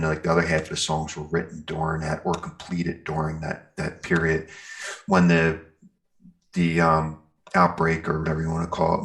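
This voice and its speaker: Very deep, gravelly-voiced man chatting. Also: a bit bright. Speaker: interview guest, metal band singer and guitarist. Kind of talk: casual interview chat.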